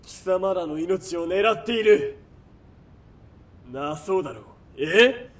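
An actor playing a sadistic, menacing military police villain in a radio drama, sneering and mocking, from quiet threats to barked orders. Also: male voice, high voice, animated, takes it slowly, exaggerated voice. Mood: barking, furious. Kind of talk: Japanese radio drama acting.

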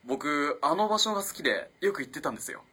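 A man's voice: fairly high pitch, expressive, quick pace, pushed and bright, slightly rough, heavily acted. An actor playing a polite, earnest, easily flustered young male student in a radio drama. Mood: friendly, eager. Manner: polite, eager, hesitant. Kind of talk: Japanese radio drama acting.

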